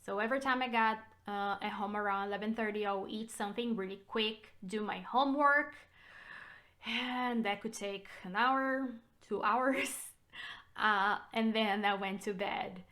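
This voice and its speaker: A woman with a Brazilian Portuguese accent speaks straight to camera in her vlog, taking her time over each thought. Her voice is bright and clear, with an easy, reflective pace. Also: medium pitch. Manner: unhurried, reflective storytelling. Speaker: realtor and channel host. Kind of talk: personal vlog talk to camera.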